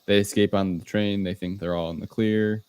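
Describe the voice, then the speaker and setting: Deep, smooth-voiced man recapping casually. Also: steady tone, normal speed, darkish tone. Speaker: podcast co-host. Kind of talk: casual movie recap discussion.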